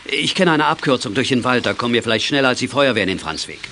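A man playing a practical father who gives brisk, firm orders in a German children's radio play. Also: mid-range voice, animated, lightly acted. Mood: urgent, commanding. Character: commanding, practical father leading a rescue. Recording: loud vehicle and scene noise.

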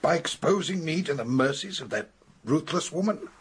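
An actor playing a wealthy older English gentleman in a radio drama, dry and sardonic, with clipped, wry delivery. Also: a little high, animated, moderate pace, breathy edge. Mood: wry, questioning. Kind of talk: British radio drama acting.

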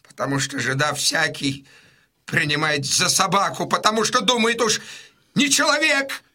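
Shrill, nervous, wily go-between. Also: male voice, pushed and bright, exaggerated voice. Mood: agitated, protesting. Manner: wailing exclamations, drawn-out words, fussy hesitations. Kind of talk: full-cast audiobook dramatisation.

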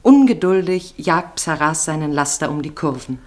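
A woman with a slightly low voice narrates a literary radio play. Her delivery is measured and descriptive, carefully scripted throughout. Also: animated.

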